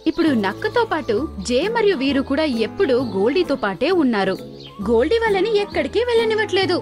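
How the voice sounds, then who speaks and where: High-pitched, lively woman storyteller. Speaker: narrator. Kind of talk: scripted children's story narration.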